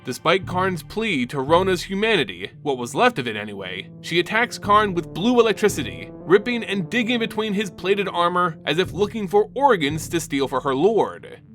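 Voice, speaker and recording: Lively bright-voiced male narrator. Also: high voice, moderate pace, few pauses, faint music behind. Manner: animated, emphatic storytelling. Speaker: lore channel host. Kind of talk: dramatic story narration.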